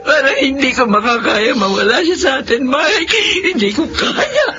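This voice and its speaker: A woman's voice: high voice, very animated, moderate pace, background noise. A woman playing a distraught, stubborn mother in a radio soap, wailing and pleading melodramatically. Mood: grieving, tearful. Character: distraught, stubborn mother fighting for her dying son. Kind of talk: radio soap drama acting. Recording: dull audio under clear music.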